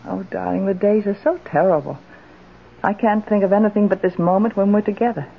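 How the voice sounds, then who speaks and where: An actress playing a doting, imperious wife in an old radio drama, theatrical and genteel. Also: female voice, mid-range voice, brisk, creaky, phone-quality sound. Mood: adoring. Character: doting, imperious wife, yearning for her husband.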